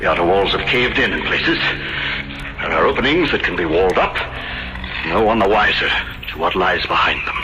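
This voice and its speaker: An actor playing a proud, jealous, murderous husband in a theatrical 1970s radio drama style. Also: male voice, low voice, lively intonation, moderate pace, muffled recording, heavy background noise. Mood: cold, menacing. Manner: theatrical, emphatic delivery. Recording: vintage radio drama with music.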